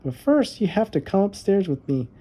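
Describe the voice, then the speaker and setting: A woman voicing an eager, bossy little girl in a children's storybook, high and childlike with an emphatic singsong delivery. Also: sing-song, normal speed, dark tone. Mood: matter-of-fact.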